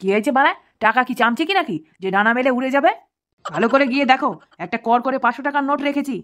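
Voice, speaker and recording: A man's voice: very high voice, very fast, pushed and bright, a little hiss or hum. A man voicing a hot-tempered, scolding, foul-mouthed village father in a comic cartoon. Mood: angry, demanding. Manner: scolding, blustering. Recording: music clearly behind the voice.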